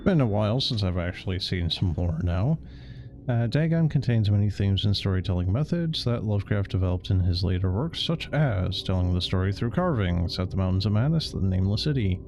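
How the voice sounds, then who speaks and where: A man's voice: low voice, animated, brisk, a little mellow. A man giving offhand, dry, casual live commentary as he streams a game. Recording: faint background noise.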